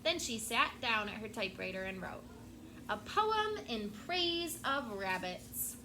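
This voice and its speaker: A woman teacher reading a picture book aloud to her class, expressive and stopping to prompt the children. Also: fairly high pitch, unhurried, background noise.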